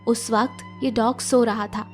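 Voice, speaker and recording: Female storyteller, high-pitched voice, scripted emotional narration with music underneath. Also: fairly even intonation, unhurried. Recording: music bed behind narration. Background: background music.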